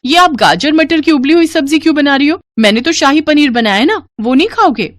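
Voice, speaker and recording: A headstrong young Indian daughter-in-law acted by a woman, her voice raised in pitch and recorded dry. She speaks emphatically with a whiny, pleading edge, as in a dramatised moral story. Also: lively intonation, fairly quick, no echo. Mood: defiant.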